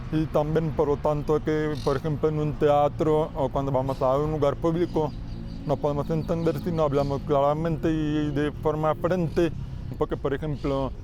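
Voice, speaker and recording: A man with a Mexican accent giving an earnest personal testimony outdoors, explaining with slightly uneven phrasing. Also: a little high. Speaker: testimony interviewee.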